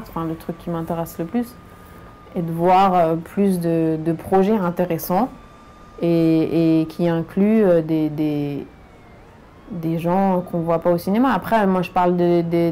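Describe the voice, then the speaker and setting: A woman's voice: fairly low pitch, mellow. A woman from France, talking frankly and emphatically in a casual interview. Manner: frank and emphatic, speaking directly to the listener. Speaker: documentary interviewee.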